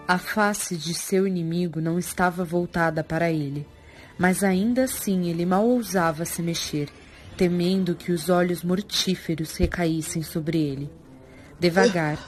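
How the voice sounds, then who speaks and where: Brazilian woman narrator, lowish voice, dramatic fantasy story reading, loud music behind. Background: prominent music.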